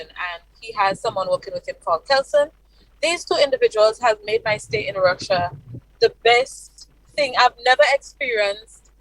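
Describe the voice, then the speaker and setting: A woman's voice: medium pitch, a bit bright, dry recording, poor audio. A Grenadian woman giving enthusiastic interview answers as a guest, effusive and emphatic.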